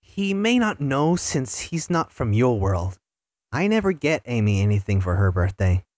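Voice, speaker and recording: A man plays a cocky, sarcastic young male hedgehog in a fan audio drama. His pitch swings wildly as he teases. The sound is dry and close. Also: sing-song, moderate pace, darkish tone, no echo, natural voice. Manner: sarcastic, playful.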